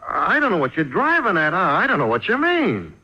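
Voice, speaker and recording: A man's voice: sits high, huge pitch swings, fairly quick, sharp tone, heavily acted. A man playing a frightened, defensive airline worker who stammers and dodges police questions in an old radio drama. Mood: nervous evasive. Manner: stammering, evasive, repeats denials. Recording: muffled old radio broadcast recording.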